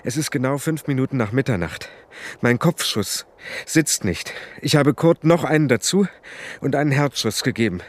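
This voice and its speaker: A man's voice: mid-range voice, expressive, normal speed, natural voice. An actor playing a fatalistic, tender fugitive gunman with a solemn delivery in a radio play. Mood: grim. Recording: music clearly behind the voice.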